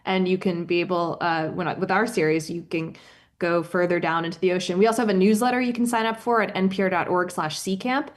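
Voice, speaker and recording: A woman's voice: lowish voice, steady tone, a little fast. A woman podcast host explaining science in a conversational, informal and emphatic way.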